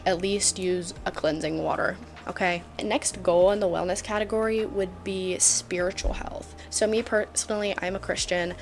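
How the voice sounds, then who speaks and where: A woman's voice: mid-range voice, a little hiss or hum. A twenty-year-old woman talking casually to camera at home in a chatty vlog, joking and full of fillers. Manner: bubbly, joking, filler-heavy. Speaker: vlogger.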